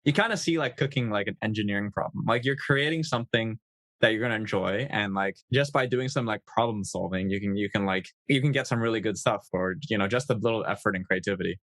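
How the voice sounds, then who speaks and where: A man with a fairly low voice chats easily as a podcast guest. He talks in a loose, friendly flow full of fillers. The recording is dry and close. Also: fast, fairly bright tone, no echo. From United States. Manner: easygoing, chatty, with frequent fillers. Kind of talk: casual conversational interview.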